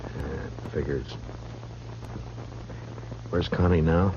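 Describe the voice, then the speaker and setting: An actor playing a stern, world-weary frontier marshal in a radio western, speaking in a terse, dry, blunt way. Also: male voice, rumbling low, steady tone, drawn-out words, heavily acted. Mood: terse, businesslike. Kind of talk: 1950s radio western acting. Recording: muffled, noisy old radio recording.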